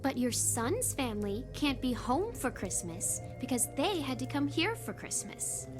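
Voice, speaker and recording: A woman's voice: high voice, very animated, measured pace, music in the background. An actress playing a frightened but blunt fourteen-year-old runaway girl in a naturalistic screen drama. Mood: blunt, probing.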